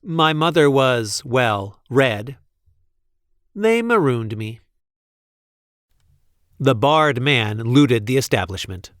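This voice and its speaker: A man reading a picture book aloud expressively, with deliberate, playful emphasis on the sentences. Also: mid-range voice, expressive, fairly bright tone, no echo, studio quality. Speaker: read-aloud storyteller.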